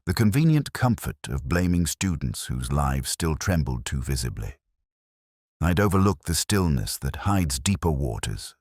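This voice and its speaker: A man reading a scripted first-person detective story in a measured, solemn, reflective and literary manner. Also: rumbling low, a little mellow, breathy edge, no room sound, clean studio sound. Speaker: audiobook-style narrator of a detective story.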